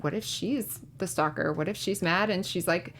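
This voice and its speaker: A woman's voice: on the low side, moderate pace, fairly bright tone, slight background noise. A woman speaking casually as a recap show panelist, tentative and hedging as she offers ideas.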